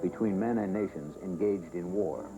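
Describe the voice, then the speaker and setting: An American man reading scripted training film narration in a formal, measured, solemn manner. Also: low-pitched, highs cut off. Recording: 1970s film soundtrack with music. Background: background music.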